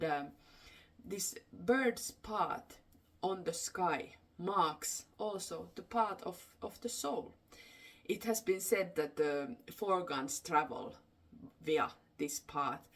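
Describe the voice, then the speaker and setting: A woman with a Finnish accent, a native Finnish speaker, telling folklore unscripted in a halting way, searching for her words. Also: lowish voice, fairly bright tone. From Finland. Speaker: storyteller. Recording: distant microphone.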